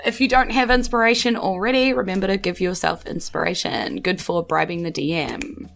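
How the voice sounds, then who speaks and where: A woman's voice: lowish voice, a little fast, vocal fry, fairly bright tone. A woman running a tabletop game as game master, narrating casually and jokily in an informal, improvised way. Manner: informal, jokey.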